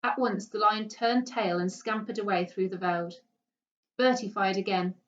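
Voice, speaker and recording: Lady reading a story out loud expressively, bit muffled and far from the mic. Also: medium pitch, normal speed, a little hiss or hum. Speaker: teacher reading a story aloud. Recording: dull, distant microphone.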